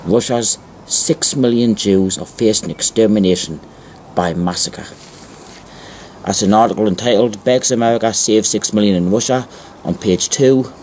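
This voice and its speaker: Low-voiced man reading aloud, noisy recording. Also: a little mellow. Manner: deliberate, repeats phrases for emphasis. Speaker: amateur video presenter. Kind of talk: informal show-and-tell narration, reading aloud from newspapers.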